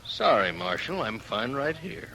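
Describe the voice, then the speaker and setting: An American actor playing a smug, evasive Old West villain, sardonic and insolent, in 1950s radio drama. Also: male voice, medium pitch, sing-song, measured pace, pushed and bright, heavily acted. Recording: vintage radio recording with music.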